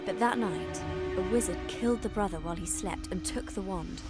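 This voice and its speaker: Woman as earnest young witch, music loud behind. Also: medium pitch, fairly quick, natural voice. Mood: solemn storytelling. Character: earnest, clever young witch reading a fairy tale and warning friends. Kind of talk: film-like video game voice acting.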